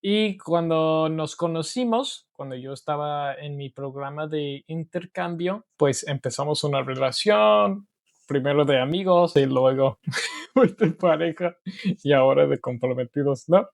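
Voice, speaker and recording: An American man whose first language is English, co-hosting a casual podcast as a Spanish learner and speaking carefully with pauses. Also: fairly high pitch, vocal fry. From United States. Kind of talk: casual conversational podcast chat.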